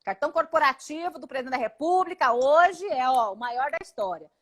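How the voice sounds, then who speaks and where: A high-pitched Brazilian woman in her forties talks over a video call. She is emphatic and indignant and often runs through quick lists. She speaks as a congresswoman guest in a live interview. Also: animated, fairly bright tone. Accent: Brazilian Portuguese. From Paraná, Brazil.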